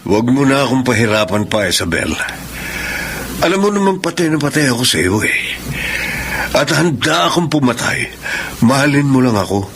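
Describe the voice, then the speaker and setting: Male suitor, medium pitch, obsessive, threatening, insistent, radio drama, faint noise. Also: big pitch swings, normal speed, long pauses, fairly bright tone. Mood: menacing, obsessed. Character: obsessive, menacing male suitor, willing to kill. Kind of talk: Filipino radio drama acting.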